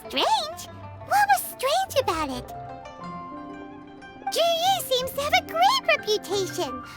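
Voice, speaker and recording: Squeaky little fairy companion. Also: female voice, wildly swooping pitch, slow pace, loud music behind the voice, exaggerated voice. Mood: puzzled. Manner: high, childlike, questioning. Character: tiny female fairy-like travel companion, excitable. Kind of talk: video game voice acting. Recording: game score playing behind.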